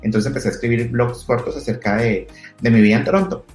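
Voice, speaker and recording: A man in his thirties with a Colombian accent, an entrepreneur telling his story in a relaxed interview with frequent restarts. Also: mid-range voice, fast talker. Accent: Colombian Spanish. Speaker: entrepreneur guest. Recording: echoey room with faint music.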